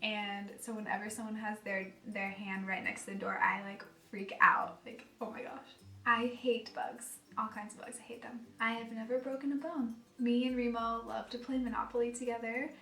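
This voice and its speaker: Soft-spoken, giggly young American woman, slightly high, recorded a little off-mic. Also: steady tone, normal speed. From United States. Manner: chatty, giggly, self-deprecating. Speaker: vlogger. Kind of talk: casual vlog chat. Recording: echoey room, voice a bit far from mic, faint music.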